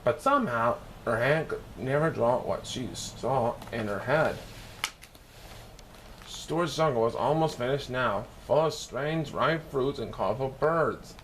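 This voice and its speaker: A man's voice: mid-range voice, expressive, measured pace, slight background noise, rough recording. A man narrating a children's picture book as a read-aloud storyteller.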